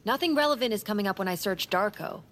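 A woman playing an impatient, practical character in an audio drama, brisk and casual. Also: mid-range voice, expressive, fairly bright tone, close to an everyday voice. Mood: mild impatience.

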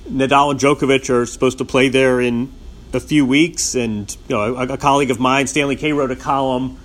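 A man interviewing a guest, asking long questions with many halting restarts. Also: medium pitch, fairly even intonation. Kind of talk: podcast interview.

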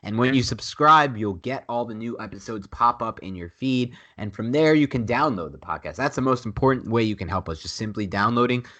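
A man's voice: mid-range voice, lively intonation, brisk, hardly any pauses, sharp tone, dull audio. A man with a nasal voice talking casually and emphatically as co-host of a sports podcast, repeating himself for emphasis.

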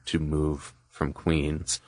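A man's voice: very deep voice, level tone, extremely slow, darkish tone, no room sound. A man co-hosting a podcast, explaining history in a casual, discursive, conversational way. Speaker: podcast co-host.

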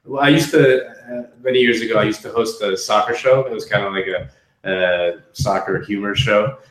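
A man in his thirties with a fairly low voice talks casually in a roomy, echoing space. He tells stories as a guest, haltingly, leaning on filler words and poking fun at himself. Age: 30s. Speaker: podcast guest. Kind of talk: casual chat, anecdotal. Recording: roomy echo.

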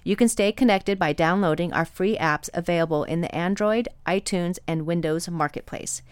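A woman's voice: lowish voice, moderate pace, smooth, no echo. A woman hosting a podcast, giving scripted introductions in a studio. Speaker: podcast host.

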